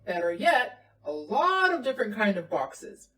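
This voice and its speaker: A woman voicing a firm, reasonable father bear in a storybook, speaking emphatically and deliberately. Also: mid-range voice, sing-song, bright, forceful tone, faint music behind. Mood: helpful, eager. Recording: roomy, distant from the mic.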